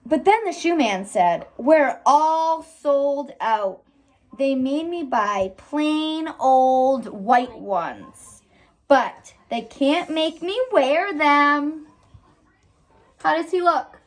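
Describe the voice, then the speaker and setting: A woman reading a picture book aloud expressively as a teacher, stopping to put simple questions to a child. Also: high voice. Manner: animated, pauses to ask simple questions.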